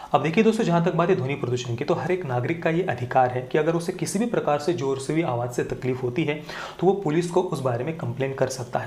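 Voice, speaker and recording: A man's voice: mid-range voice, a little mellow. Man commentating in an echoey room. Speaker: video commentator. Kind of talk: opinion commentary to viewers on current affairs. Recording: roomy, echoing indoor sound.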